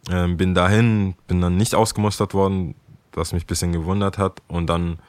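Deep-voiced relaxed young man chatting. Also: normal speed, clean tone, dry recording. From Ghana. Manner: relaxed, chatty, laughs while telling stories. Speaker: guest, skate shop worker. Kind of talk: casual interview storytelling.

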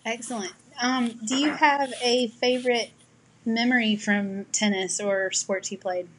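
A woman's voice: a little high, fairly even intonation, measured pace, fairly bright tone, low-quality recording. A woman hosting a podcast interview, asking questions and giving brief, encouraging affirmations. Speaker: podcast host.

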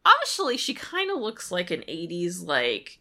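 Woman, podcast co-host, bright tone, highly animated, casual comedic chat, sweary, exasperated. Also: a little slow.

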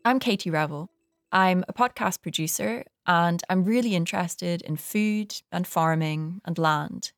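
A woman's voice: on the low side, measured pace, soft background music. A Scottish woman co-hosting a podcast, talking thoughtfully and self-questioningly about her own choices. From Scotland. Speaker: podcast co-host. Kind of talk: reflective personal narration.

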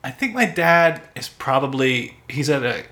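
A man's voice: medium pitch, animated, moderate pace, pushed and bright. A man co-hosting a podcast, talking in a casual, jokey way full of slang. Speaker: podcast co-host. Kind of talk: casual comedic chat.

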